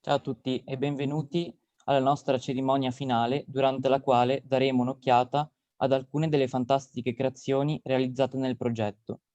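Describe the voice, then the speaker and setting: An Italian man with a warm, dark tone and a mid-pitched voice. He reads from a script, recorded fairly dry and slightly dull. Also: flat delivery, brisk, hardly any pauses, no echo. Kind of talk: scripted welcome and presentation. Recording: dull, slightly muffled audio.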